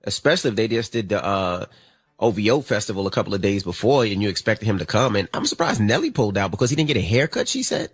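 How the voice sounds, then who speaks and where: A man co-hosting a morning radio show, talking casually with slang in an animated way. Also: fairly low pitch, fast, slightly rough, dry recording. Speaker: radio co-host.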